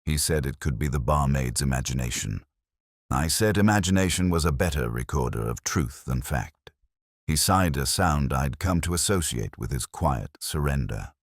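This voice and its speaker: A man playing a brooding English police detective, narrating a mystery drama in a measured, literary and melancholy way. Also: a bass voice, animated, dry recording, studio quality, exaggerated voice. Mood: pensive, measured. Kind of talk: scripted first-person mystery narration.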